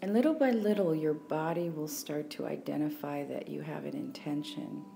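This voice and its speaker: A woman leading a guided meditation as a teacher, speaking calmly and without hurry, leaving long pauses. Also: sits low, creaky, a little hiss or hum. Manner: calm, unhurried, with long pauses.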